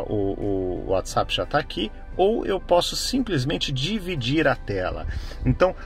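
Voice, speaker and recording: A middle-aged man with a Brazilian accent giving a casual, conversational hands-on demonstration, checking in with frequent tag questions. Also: medium pitch. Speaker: tech vlogger, host. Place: inside a parked car. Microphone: phone camera microphone, no external mic.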